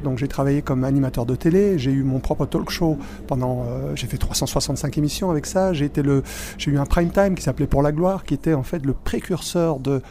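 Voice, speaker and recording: A middle-aged man, born in Spain and raised in Belgium, speaking as a magician guest in a casual interview, animated and full of restarts. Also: a little high. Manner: animated, many restarts and fillers.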